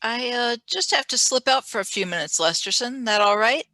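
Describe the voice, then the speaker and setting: A woman's voice: a little high, lively intonation, moderate pace, pushed and bright, no room sound. A woman playing a scheming lab assistant, controlled and matter-of-fact, in a radio play reading. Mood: guarded. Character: cool, scheming female lab assistant and conspirator.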